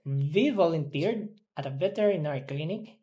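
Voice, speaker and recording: A woman learning English, reading drilled words and sentences aloud in a careful, halting way. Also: low voice, expressive, warm tone.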